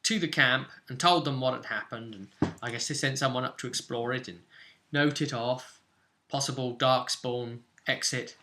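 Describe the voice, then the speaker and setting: A man's voice: medium pitch, normal speed, noisy background. A man hosting as game master, casually recapping a tabletop role-playing session in an informal, storytelling way. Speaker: game master and channel host.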